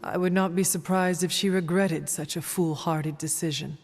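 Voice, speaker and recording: A woman playing an earnest, solemn warrior heroine in a video game, speaking in a formal, measured way. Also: lowish voice, fairly even intonation, long reverb tail. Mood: thoughtful. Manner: formal, measured, avoids contractions. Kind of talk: video game character acting.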